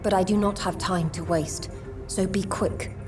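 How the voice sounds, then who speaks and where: A woman playing an ancient, wise and guarded female monster of the sewers in a video game, speaking in measured, formal phrases. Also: mid-range voice, fairly even intonation, natural voice. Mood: grave, guarded. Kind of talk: video game cutscene acting. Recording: loud music behind the voice.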